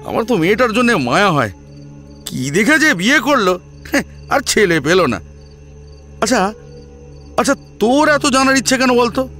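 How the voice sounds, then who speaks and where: Gossipy young male friend, audio drama: strained high voice, animated, scornful street talk. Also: normal speed, long pauses, soft background music, exaggerated voice. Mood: scornful gossiping. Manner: excitable, disapproving, colloquial.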